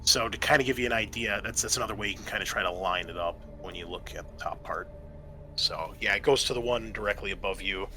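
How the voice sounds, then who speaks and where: A man's voice: fairly low pitch, fast talker. A man acting as game master, casually explaining tabletop game rules. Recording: clear background noise.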